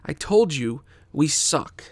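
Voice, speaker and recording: A man's voice: on the high side, lively intonation, slow pace, no echo, heavily acted. A man playing a grumbling, pessimistic young musician in a scripted table read. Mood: fed up.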